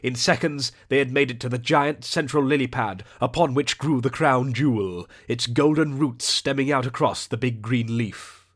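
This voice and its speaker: A man's voice: medium pitch, moderate pace, hardly any pauses. A man narrating a scripted audiobook adventure in a theatrical, descriptive storytelling manner.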